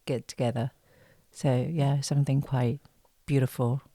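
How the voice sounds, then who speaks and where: A woman from China with a Chinese accent, an actress speaking casually as an interview guest, pausing to search for words in simple phrases. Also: a bass voice, little pitch movement, mellow, dry recording.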